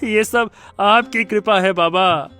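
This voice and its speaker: A man's voice: high voice, takes it slowly, pushed and bright, soft background music, heavily acted. A man playing a poor, gullible husband who pleads deferentially and hesitantly in a Hindi cartoon. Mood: amazed, hopeful. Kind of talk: Hindi cartoon moral story acting.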